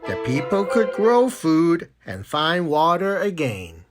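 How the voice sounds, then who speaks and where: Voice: medium pitch, sing-song, takes it slowly. A narrator reading a children's storybook in a dramatic style. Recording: music under the narration. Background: instrumental music.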